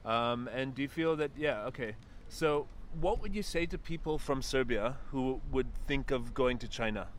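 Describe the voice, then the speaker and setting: A male interviewer at a mid pitch asks easygoing questions and nods along with a steady run of "yeah"s. Faint traffic and city sounds drift behind him outdoors. His tone stays casual and agreeable. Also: normal speed, hardly any pauses. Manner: agreeable, says "yeah" a lot.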